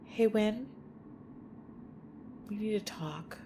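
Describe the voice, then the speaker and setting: A woman's voice: mid-range voice, drawn-out words, noisy background. A woman playing an anxious, easily exasperated woman in an audio drama, fretful in manner. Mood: uneasy, resigned.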